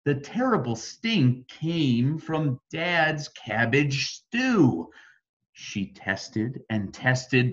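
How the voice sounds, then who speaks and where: An American man reading a rhyming children's bedtime story expressively, with dramatic emphasis and deliberate phrasing. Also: mid-range voice, muffled recording. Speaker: storybook reader.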